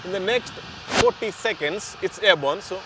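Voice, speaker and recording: A man's voice: high-pitched, normal speed, very noisy. A middle-aged man with an Indian accent, explaining operations as an interviewee outdoors. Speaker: head of airport operations, interviewee. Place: outdoors on an airfield. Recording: music bed, outdoor vehicle sounds.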